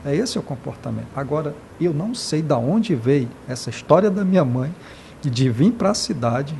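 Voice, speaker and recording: Impassioned Brazilian man, halting and earnest. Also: a little high, sing-song, gravelly, warm tone, a little hiss or hum. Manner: impassioned, many hesitations and repeated "né". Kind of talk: earnest interview talk.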